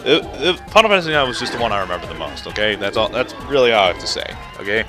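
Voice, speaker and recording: A man's voice: lowish voice, very animated, racing, music in the background. A man hosting a let's play with casual, rambling, goofy commentary over a video game. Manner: rambling, goofy, breaks into put-on voices.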